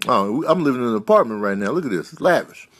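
A man voicing a smug, relaxed otter who boasts in slang between little munching hums, in a comic animal voice-over. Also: medium pitch, fast talker, pitched below the performer's own voice. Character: smug, relaxed otter, zoo's self-proclaimed star.

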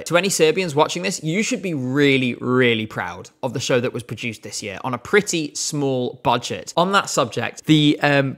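A young man speaking into a desk microphone in his living room, giving an animated casual review and gesturing with his hands. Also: mid-range voice. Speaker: video reviewer. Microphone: studio mic on a stand in front of him.

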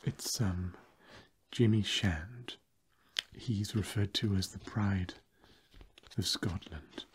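A man playing a fussy, old-fashioned, melancholy gentleman vampire in a comic ASMR roleplay, speaking softly, courtly and hesitantly. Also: sits low, takes it slowly, long pauses, a little hiss or hum. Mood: fond, hesitant. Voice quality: breathy, husky soft voice.

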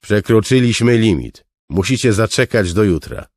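A man's voice: lowish voice, animated, moderate pace, dry recording, studio quality, voice pushed up. A man voicing a firm, weary city guard captain in an audiobook, insistent and exasperated.

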